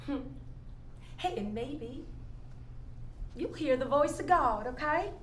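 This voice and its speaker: A woman's voice: high voice, expressive, takes it slowly, fairly bright tone, exaggerated voice. A woman playing a jaded, outspoken daughter with a blunt, scoffing, emphatic manner in a staged play reading. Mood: brisk, friendly. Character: jaded, outspoken woman chasing money owed to her grieving father. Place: indoor room at a staged reading. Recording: echoey room, noticeable background noise.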